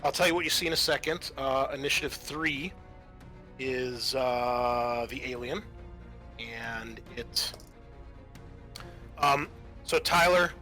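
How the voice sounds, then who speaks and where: A man acting as game master, narrating an improvised tabletop horror game with halting but vivid, dramatic descriptions. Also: medium pitch, pushed and bright. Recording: music playing behind.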